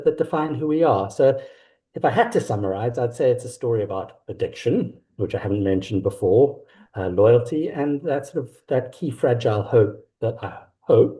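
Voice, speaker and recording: A middle-aged South African man talking reflectively and haltingly as an author being interviewed. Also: mid-range voice, dark tone. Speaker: author guest. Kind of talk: conversational interview about own work.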